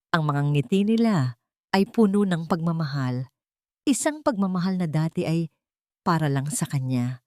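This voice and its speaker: Low-pitched woman narrating a dramatic story. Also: a little mellow, no room sound, clean studio sound. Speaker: audiobook narrator.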